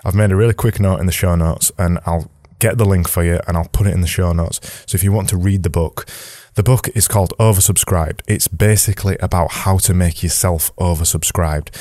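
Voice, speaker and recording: A man hosting a podcast as a casual audio diary from his attic at home, his voice slightly raspy, rambling and cutting in on himself. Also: sits low, steady tone, a little fast, dark tone, dry recording, studio quality. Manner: rambling, self-interrupting. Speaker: podcast host.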